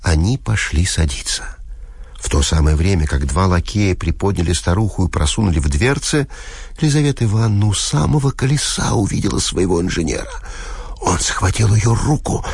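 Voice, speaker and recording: A man narrating a literary audiobook expressively, with measured, dramatic phrasing and deliberate pauses. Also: deep voice, darkish tone, husky, no echo.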